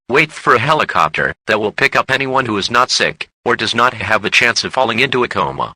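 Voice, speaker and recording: A man delivers a scripted emergency announcement in an extremely bright, forceful voice. The recording is dry and close, with the formal wording of an official broadcast alert. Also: medium pitch, breathy edge, dry recording, poor audio.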